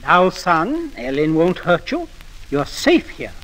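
A man's voice: fairly high pitch, huge pitch swings, slow pace, a bit bright, exaggerated voice, rough recording. A man playing a kindly but firm father, reassuring and authoritative, in a 1940s radio drama.

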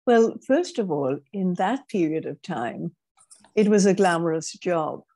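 An older woman from Grenada with a British-Caribbean accent, telling her life story in a measured, thoughtful way with frequent restarts, on a video call through earbuds. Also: on the low side, animated, mellow. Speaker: guest speaker. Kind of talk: reflective interview storytelling. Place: home room, indoors. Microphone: wired earbuds on a video call.

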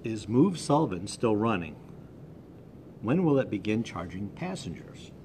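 A man moderating a town hall, reading questions and thanking speakers in a halting way. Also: fairly low pitch, big pitch swings. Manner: hesitant, halting closing remarks. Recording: noisy recording.

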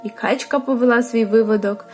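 A woman's voice: on the high side, steady tone, brisk. A woman reading a scripted children's bedtime story as a storyteller. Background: music.

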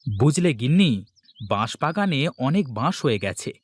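A man voicing a scheming village husband who dreams of getting rich fast. He talks in a coaxing, boastful way in a cartoon. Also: fairly high pitch, big pitch swings. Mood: plotting. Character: scheming village husband, greedy for quick riches. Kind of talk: cartoon voice acting.

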